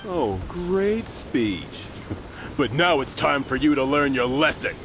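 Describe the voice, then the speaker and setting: A cocky henchman from a video game, taunting and bullying. His mid-pitched voice swings widely as he sneers and mocks, with clear ambient noise behind him. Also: expressive, slow. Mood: threatening. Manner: taunting, mocking, drawling emphasis.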